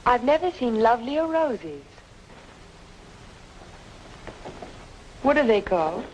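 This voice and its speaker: An actress playing an earnest, caring young woman in an old-time radio noir, gently inquiring. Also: fairly high pitch, sing-song, moderate pace, lo-fi sound, a little hiss or hum. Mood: curious. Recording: old radio drama with music behind.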